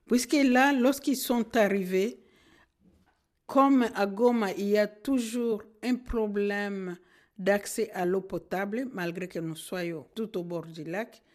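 A woman's voice: mid-range voice, darkish tone. Earnest woman recalling her past. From Democratic Republic of the Congo. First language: Kinande. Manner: earnest, measured, speaking from memory. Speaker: social worker and guest. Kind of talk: radio interview answers.

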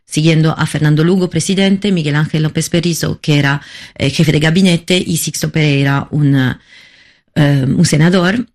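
A woman from Italy, a filmmaker who is not a Spanish speaker, answering interview questions in a halting way, often searching for words and restarting. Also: deep voice, fairly even intonation, darkish tone. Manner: halting, searching for words, many repeated starts. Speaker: documentary filmmaker, interview guest.

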